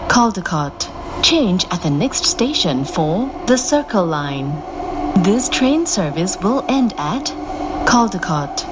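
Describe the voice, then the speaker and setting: A woman making scripted train announcements in a formal, clearly enunciated, measured manner. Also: medium pitch, sing-song, heavy background noise. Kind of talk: scripted recorded transit announcements. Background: loud moving train ambience.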